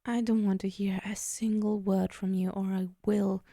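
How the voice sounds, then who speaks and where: A woman playing a powerful, possessive female demon in an ASMR roleplay, teasing and condescending in a softly menacing murmur that slips toward whispers. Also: mid-range voice, level tone, moderate pace, gravelly, no room sound, clean studio sound. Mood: indulgent, warning.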